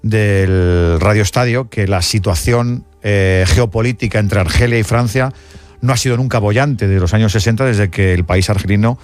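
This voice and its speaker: A man's voice: on the low side, normal speed. A man co-hosting a radio sports show, explaining background while thinking aloud.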